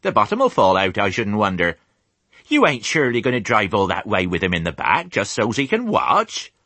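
A man voicing a bossy, talkative farm wife, loud and rapid. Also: lowish voice, huge pitch swings, dry recording, pitched above the performer's own voice, exaggerated voice. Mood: incredulous. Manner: loud, rapid, scolding chatter.

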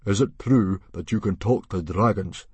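A man doing a booming Viking chief. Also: moderate pace, a little mellow, breathy edge, no room sound. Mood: commanding. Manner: booming, commanding. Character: Viking chief, gruff, self-important.

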